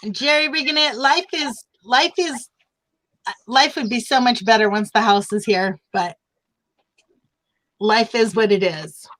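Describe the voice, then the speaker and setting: A woman hosts a casual live video chat. Her voice sits fairly high, and she talks in a chatty, upbeat way and laughs easily. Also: a little slow, long pauses, a bit bright, rough recording. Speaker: livestream host. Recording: choppy internet stream.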